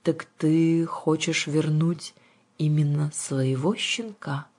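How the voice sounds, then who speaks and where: Женщина играет властную и хитрую ведьму-гадалку из книги, говорит грубовато, театрально и таинственно. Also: female voice, medium pitch, moderate pace, breathy edge, voice pushed up, close to an everyday voice. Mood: sly, mysterious. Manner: brusque, mysterious, colloquial. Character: bossy, cunning witch fortune-teller woman, gruff and theatrical.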